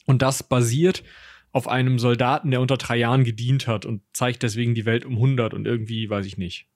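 A man's voice: mid-range voice, fairly even intonation, fairly quick, no echo, studio quality. A man discussing history as a podcast co-host in a casual, colloquial way, with slangy turns and joking asides.

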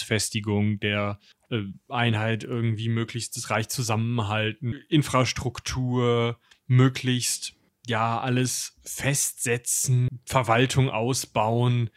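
A man's voice: mid-range voice, dry recording. Man, mid-range voice, casual history discussion, colloquial and slangy, jokey asides, podcast co-host, dry recording.

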